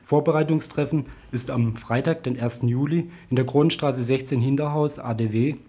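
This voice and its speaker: A man's voice: mid-range voice, fairly even intonation, normal speed, dark tone, breathy edge. A man reading a scripted political news report on the radio.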